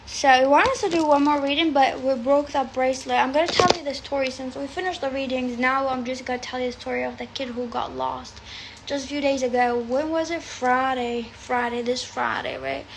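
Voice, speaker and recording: A woman's voice: sits high, fairly even intonation, brisk, vocal fry, noisy background. A woman whose first language is Arabic, chatting casually as a tarot reader on a phone livestream from a room at home, rambling and swearing freely.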